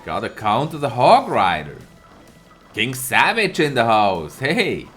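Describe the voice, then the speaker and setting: Brief: male game caster, animated, slightly hoarse, unhurried delivery, faint music under. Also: mid-range voice. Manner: lively, laughs often, greets chat viewers by name. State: a bit hoarse from a cold. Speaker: esports caster and streamer. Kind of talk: live tournament commentary with chat replies.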